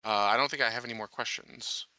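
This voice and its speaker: Very bright, forceful-voiced man summing up. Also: fairly low pitch, steady tone, fast talker, dry recording, low-quality recording. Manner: matter-of-fact, summing up and confirming. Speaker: tabletop game player. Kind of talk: casual game discussion.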